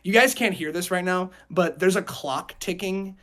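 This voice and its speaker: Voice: medium pitch, moderate pace, fairly bright tone. A young adult podcast host chatting casually in a group, jokey and given to repeating phrases.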